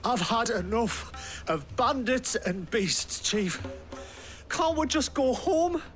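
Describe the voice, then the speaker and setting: A man's voice: extremely high pitch, animated, slow, fairly bright tone, faint music behind, heavily acted. An actor playing a weary, whining henchman to a merchant chief in a video game, plaintive and hesitant.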